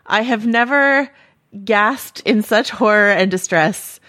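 A woman's voice: mid-range voice, slow pace, bright, forceful tone. A woman podcast host asking a guest long, eager questions, with fillers along the way. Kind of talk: interview questions.

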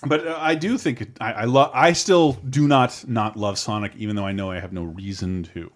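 A man's voice: on the low side, brisk. A man hosting a casual podcast chat. He trails off, restarts his sentences and laughs in between.

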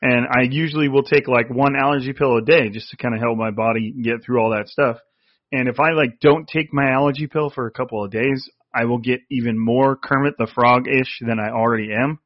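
A man's voice: medium pitch, brisk, dry recording, lo-fi sound. A man hosting a casual sports podcast, with a nasal voice and a rambling way of talking. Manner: rambling, restarts often. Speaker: podcast host.

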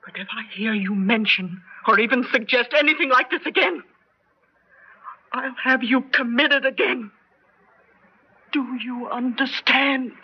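An actress playing Martha, a worried middle-aged wife in a radio drama, soothing yet firm. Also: female voice, high-pitched, unhurried, pauses often, husky. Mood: pleading. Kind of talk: 1970s radio drama acting. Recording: dull lo-fi old radio recording.

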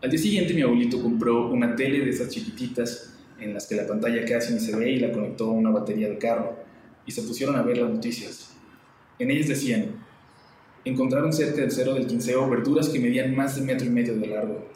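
A man with a Mexican accent reads a scary story aloud with slow, dramatic pacing, as a podcast guest. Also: medium pitch, fairly even intonation, a little mellow, slight background noise. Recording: echoey room, mic far off.

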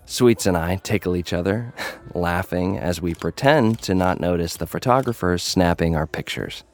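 A young American online celebrity fighter tells his own story in a low register. He is cocky and jaded, with a wry, conversational delivery. Music plays faintly underneath this audio drama narration. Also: moderate pace. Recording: music bed behind the voice.

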